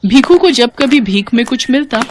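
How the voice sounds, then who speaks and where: उतार-चढ़ाव से भरी महिला कथावाचक. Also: female voice, fairly high pitch, moderate pace, a little hiss or hum. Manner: expressive storytelling. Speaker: narrator. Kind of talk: scripted children's story narration.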